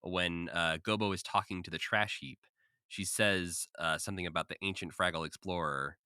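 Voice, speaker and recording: A man's voice: low-pitched, steady tone, moderate pace, sharp tone, clean tone, no room sound, studio quality. A man co-hosting a podcast, casually recapping and commenting with a wry, joking manner. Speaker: podcast co-host.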